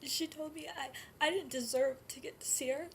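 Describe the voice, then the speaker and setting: A woman performing a dramatic stage monologue as a grief-stricken, guilt-ridden nineteen-year-old mother, speaking haltingly and often in a breathy hush. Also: sits high. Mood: grieving, quiet. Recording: noisy amateur room recording.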